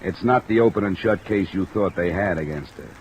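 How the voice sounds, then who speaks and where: A man acts a dogged, hard-boiled investigator in a vintage radio mystery. His deep voice is clipped and matter-of-fact, set over a soft wash of rain on an old recording. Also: a little fast, a little hiss or hum, close to an everyday voice, poor audio. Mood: accusing, firm. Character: tough, dogged, cool-headed insurance investigator. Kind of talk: old-time radio drama acting and narration.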